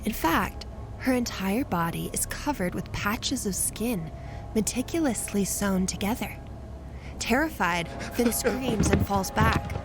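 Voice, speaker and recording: A woman's voice: mid-range voice, animated, a little slow. A woman reading scripted story narration for an audio drama as the narrator. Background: music.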